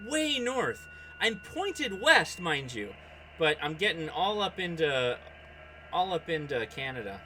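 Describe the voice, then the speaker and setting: A man with a bright, forceful and slightly high voice hosts a casual hobby livestream. He is excitable, commenting aloud as he goes and talking straight to his viewers. There is faint noise behind him. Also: unhurried. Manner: excitable, reacts aloud, talks to viewers and contacts by name. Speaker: host of a ham radio livestream. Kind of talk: casual livestream chat and running commentary while operating radio.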